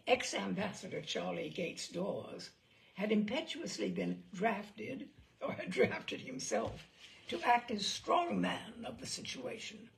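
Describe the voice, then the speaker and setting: Woman narrator, memoir reading, gravelly, lively swinging pitch, dramatic phrasing. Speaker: storyteller reading a memoir aloud. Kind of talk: expressive audiobook-style narration.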